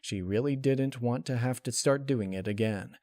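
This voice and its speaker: A man's voice: mid-range voice, moderate pace, a little mellow, dry recording. Man narrating a story, very dry sound. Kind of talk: scripted narration of fan fiction.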